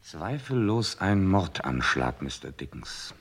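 An actor playing a composed, sceptical police chief inspector in a German radio crime drama, asking measured, dry questions. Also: male voice, low-pitched, very animated, a bit bright, husky, full character voice. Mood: dry, businesslike.

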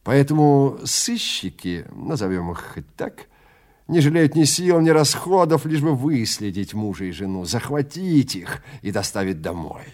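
Man acting a suave, menacing film director, swooping pitch. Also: sing-song, darkish tone. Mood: smooth, veiled menace. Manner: unhurried, theatrical, insinuating, pausing between phrases. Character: suave, menacing male film director, calmly toying with his prey.

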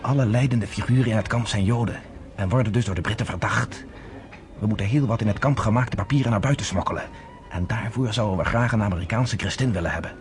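An actor playing a confident, courteous underground leader in a radio play, speaking in a measured, formal way. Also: male voice, fairly low pitch, faint music behind, natural voice. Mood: persuasive. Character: confident, persuasive underground leader, former army captain, courteous.

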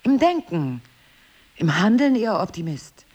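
An actress playing a wry, guarded, passionate middle-aged wife in an intimate audio drama, husky and breathy, with an ironic and emotional delivery. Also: female voice, medium pitch, very animated, a little slow, darkish tone, highs cut off. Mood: dry, wry.

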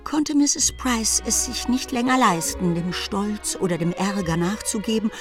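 A woman narrating a literary radio play as a composed, wry storyteller, deliberate and ironic in delivery. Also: mid-range voice, music in the background, close to an everyday voice. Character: composed, wry storyteller presiding over the family.

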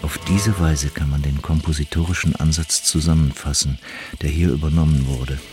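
A man reads a scripted literary text as narrator in a solemn, measured, declamatory way. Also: a bass voice, steady tone, darkish tone, soft background music. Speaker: narrator reading quoted texts.

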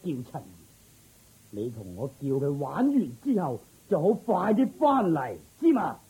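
An actor playing a commanding, doting king in a radio drama, speaking with deliberate authority. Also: a little high, wildly swooping pitch, mellow, slightly rough, full character voice, rough recording. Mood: commanding, tender. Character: commanding, doting king worried for his son.

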